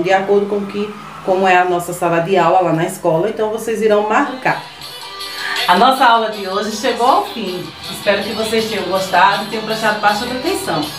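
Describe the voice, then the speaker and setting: A middle-aged woman teaching young children, speaking slowly and patiently in a sing-song way. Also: medium pitch. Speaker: primary school teacher. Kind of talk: remote lesson for young children. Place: small decorated classroom indoors. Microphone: camera microphone from a few meters away. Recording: echoey room, voice from a distance.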